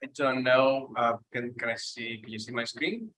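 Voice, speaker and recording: A man's voice: mid-range voice, normal speed, a bit bright. A man from Cuba with a Cuban Spanish accent presenting an architectural preservation report over a video call at a public meeting. He is hesitant and often restarts his words.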